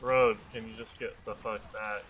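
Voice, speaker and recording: A young man with a bright, forceful voice chats through a multiplayer game. He talks casually into a close mic, excitable and swearing freely as he reacts. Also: on the low side, normal speed, slight background noise, rough recording. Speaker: video game player. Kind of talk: casual multiplayer gaming chat.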